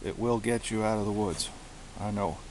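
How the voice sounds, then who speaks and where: A man giving a casual show-and-tell of his gear, speaking haltingly and often repeating himself as he searches for words. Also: on the low side, darkish tone, low-quality recording. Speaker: hobbyist presenter. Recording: hissy amateur home recording.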